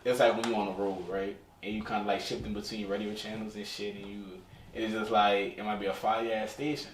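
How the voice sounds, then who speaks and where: A man in his early twenties chatting casually as a podcast co-host, telling things in a slangy, animated way. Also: lowish voice, fairly quick. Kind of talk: casual group chat. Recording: echoey room, distant mic, faint noise.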